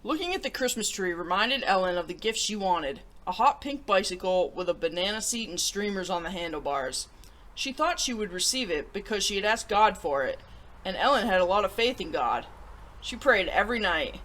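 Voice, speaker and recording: A woman hosting a children's story show, reading aloud in an animated, expressive way. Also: mid-range voice, normal speed.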